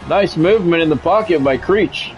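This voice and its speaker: Higher-voiced man calling football over music. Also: moderate pace, poor audio. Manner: offhand, conversational, swears freely. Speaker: streamer calling play-by-play of a video game. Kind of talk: live football commentary mixed with casual chat.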